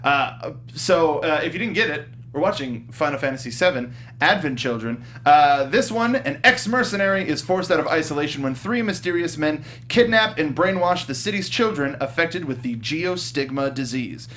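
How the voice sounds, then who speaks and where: A man's voice: a little high, lively intonation, moderate pace, hardly any pauses, a bit bright. A man with an American accent hosting a movie review show, switching between upbeat announcing and casual panel chat.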